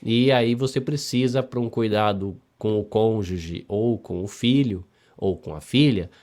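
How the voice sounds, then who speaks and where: A chatty Brazilian man with an interior São Paulo accent runs a live podcast chat. His voice sits a little low, and his talk stays upbeat and loose, with phrases often repeated. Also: measured pace, darkish tone. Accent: interior São Paulo Brazilian Portuguese. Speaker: podcast host.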